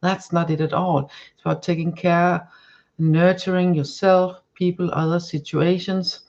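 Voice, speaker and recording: A woman's voice: fairly low pitch, little pitch movement, unhurried, a little mellow, dull audio. Woman reading tarot cards. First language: Danish. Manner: searching for words, many restarts and fillers. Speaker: tarot card reader. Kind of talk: live-stream tarot reading.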